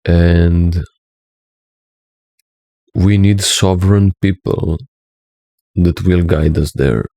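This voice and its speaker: Slow, gravelly low-voiced Slovenian man. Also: steady tone, dark tone, dry recording, clean studio sound. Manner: preachy, often adding a questioning "right?". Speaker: spiritual teacher. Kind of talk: earnest monologue to camera.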